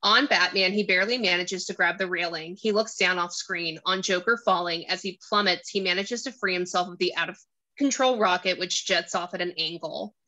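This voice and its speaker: Bright, forceful female narrator. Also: on the low side, moderate pace, rough recording. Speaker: narrator reading stage directions. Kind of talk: scripted screenplay narration.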